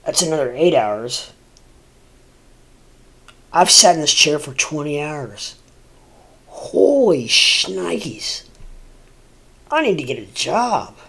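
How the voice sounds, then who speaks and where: Slow, rambling, stuffy-sounding man. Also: fairly high pitch, sing-song, vocal fry, a bit bright, breathy edge. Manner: slow, halting, full of fillers and restarts. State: stuffy, congested. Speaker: crypto livestreamer. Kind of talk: rambling casual livestream chat. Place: home room, seated at a desk.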